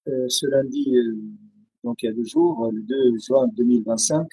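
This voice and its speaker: A man from Syria with a Syrian accent, Arabic his first language, speaking haltingly with many pauses and restarts as an interview guest on a video call. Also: medium pitch, mellow, slightly rough, dry recording, poor audio.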